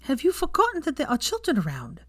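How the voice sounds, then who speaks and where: Woman acting prickly young witch, lively intonation. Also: high voice, quick pace, pitched above the performer's own voice. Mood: snappish. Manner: snappish, indignant. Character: prickly, indignant young witch, bossy schoolteacher. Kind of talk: acted audiobook dialogue.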